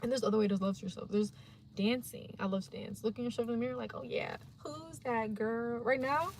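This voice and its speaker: A young woman livestreaming, chatting casually and rambling in a slangy, animated way to her viewers. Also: fairly high pitch, brisk, a little hiss or hum.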